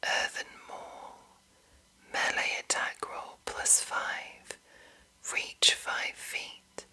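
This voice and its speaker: A woman whispers in an ASMR style, with no voiced tone at all. Her whisper is very bright and crisp-edged, full of breath. She speaks softly as a host addressing her listeners. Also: drawn-out words. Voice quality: breathy, unvoiced whisper throughout. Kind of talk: whispered ASMR reading of game rulebook and chat to viewers.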